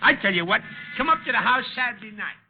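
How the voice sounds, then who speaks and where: An actor playing a jovial, henpecked factory worker, folksy and familiar, in a 1940s radio drama. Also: male voice, very high-pitched, animated, fast. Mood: hearty. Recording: dull, slightly noisy old radio.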